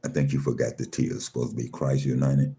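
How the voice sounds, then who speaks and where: A man with a very deep voice hosting a live chat room, calmly greeting listeners one by one and talking in a style. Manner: slow, calm, repeats himself, reads names off a screen.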